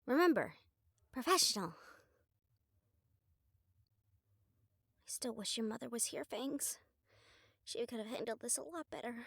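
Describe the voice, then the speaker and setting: A woman plays a wry young bride-to-be about to help lead a vampire clan. Her voice is high and sits close and dry, with no room around it. She talks straight to the listener, conversational, teasing and emphatic. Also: breathy edge, no echo. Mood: wistful, teasing. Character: wry, determined young bride-to-be joining a vampire clan's leadership. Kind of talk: audio roleplay drama acting, addressing the listener.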